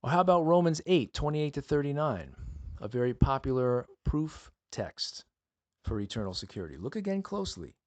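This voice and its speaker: A man's voice: medium pitch, sing-song, normal speed, a little mellow. A man teaching the Bible as a podcast host, speaking earnestly and emphatically in a monologue built on rhetorical questions and appeals to the listener.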